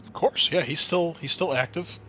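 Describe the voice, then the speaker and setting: Bright-voiced man running a tabletop game. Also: on the high side, normal speed, highs cut off, a little hiss or hum. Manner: explanatory, conversational. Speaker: game master. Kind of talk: narrating a tabletop roleplaying game.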